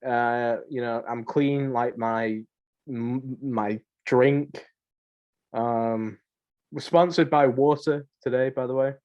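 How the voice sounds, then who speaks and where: A man's voice: mid-range voice, fairly even intonation, takes it slowly, darkish tone, highs cut off. A young man co-hosting a podcast in casual banter, joking and rambling, with slangy phrases he often repeats. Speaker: podcast co-host.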